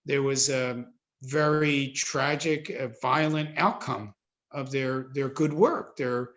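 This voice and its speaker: A man in his fifties with an American accent, giving reflective interview answers in a thoughtful, halting way and repeating words for emphasis. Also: mid-range voice, steady tone, fairly bright tone, highs cut off. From suburban New Jersey, United States. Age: 50s. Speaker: interviewee, Jesuit priest.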